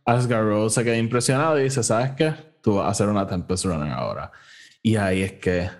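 A man's voice: on the low side, fairly quick. A Puerto Rican man co-hosting a podcast, casually recapping a story and slipping between Spanish and English. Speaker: podcast co-host.

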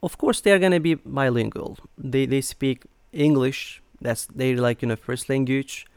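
A middle-aged man from Turkey, speaking as an interview guest in a halting way with many fillers. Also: medium pitch, smooth, no echo. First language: Turkish. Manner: hesitant, many fillers and restarts. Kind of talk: conversational interview.

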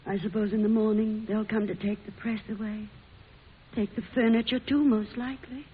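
An actress playing a defiant, fearless newspaper publisher in an old-time radio drama, with emphatic delivery. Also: female voice, medium pitch, fairly even intonation, moderate pace, husky. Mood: bitter. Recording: hissy, muffled old radio broadcast recording.

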